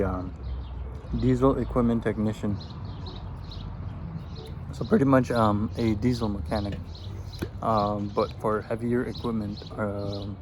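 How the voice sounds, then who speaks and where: Low, flat-voiced young man outdoors. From Afghanistan. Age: 20s. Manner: easygoing, answers questions in a loose, rambling way. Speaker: vlogger. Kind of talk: casual get-to-know-me chat while eating. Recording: outdoor recording with background noise and birds.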